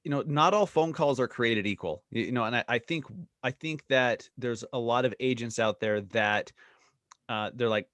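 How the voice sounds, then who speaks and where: A man hosting a podcast, talking in a relaxed, halting way with frequent restarts. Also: mid-range voice, no room sound. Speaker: podcast host. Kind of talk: podcast interview.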